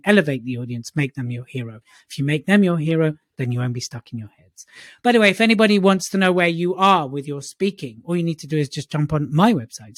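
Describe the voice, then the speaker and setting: A man's voice: a little high, no room sound, studio quality. A man co-hosting a motivational talk show, summarizing tips and steering the conversation in an upbeat, affectionate way. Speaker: podcast co-host.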